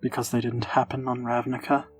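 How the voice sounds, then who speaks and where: An actor playing a reserved, clever young man in an audio drama, gently earnest, quiet and measured. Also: medium pitch, completely flat delivery, husky, no room sound, natural voice. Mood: tentative, probing.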